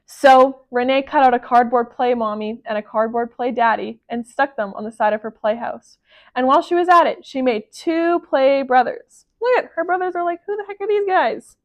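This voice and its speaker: A woman reading a children's picture book aloud as a library storyteller, expressive and emphatic. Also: high voice, normal speed.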